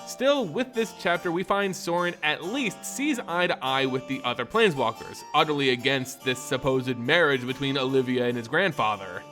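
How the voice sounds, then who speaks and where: A man hosting a lore channel, giving expressive, theatrical scripted fantasy narration and commentary, with music behind. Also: mid-range voice, normal speed, few pauses. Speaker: lore storyteller and channel host.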